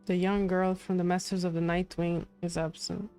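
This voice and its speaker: A woman's voice: on the low side, flat delivery, normal speed, warm tone. Woman livestreamer musing over faint game music. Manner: thinks aloud, repeating and musing. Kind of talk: casual reaction commentary to a game story.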